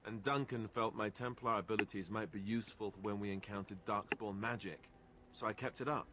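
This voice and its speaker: An actor playing a wry, self-deprecating young warrior in a fantasy video game, with dry, conversational joking. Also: male voice, on the low side, fairly even intonation, a little fast, background noise, close to an everyday voice. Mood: candid, reflective. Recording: game audio with prominent background music. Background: game music.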